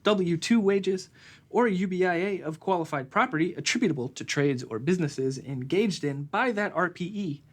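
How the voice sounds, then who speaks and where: A man playing an accountant, reading technical rules aloud in a measured, formal way. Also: high voice.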